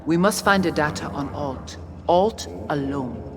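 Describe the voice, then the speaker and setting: Solemn, higher-voiced male technician. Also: animated, a little slow, very noisy. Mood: solemn focus. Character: solemn, methodical technical operator guiding a procedure. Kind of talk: video game voice acting.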